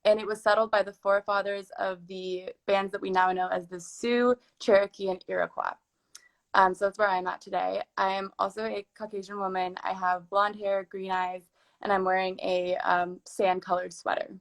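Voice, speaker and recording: A woman's voice: mid-range voice, moderate pace, creaky. A woman in her twenties, a film producer, chatting casually as a guest in a live-streamed interview. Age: 20s. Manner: relaxed, chatty storytelling. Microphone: live video stream from home.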